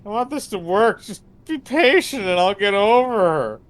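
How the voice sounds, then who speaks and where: A woman voicing a frustrated, pleading man in a horror visual novel, with a melodramatic, halting delivery. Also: mid-range voice, expressive, natural voice. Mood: subdued, regretful.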